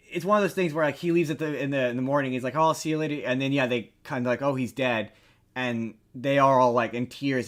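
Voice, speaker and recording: A man with a mid-pitched voice chats casually as a podcast co-host. He tells things in an animated, tongue-in-cheek boastful way and swears freely. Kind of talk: casual pop-culture podcast chat.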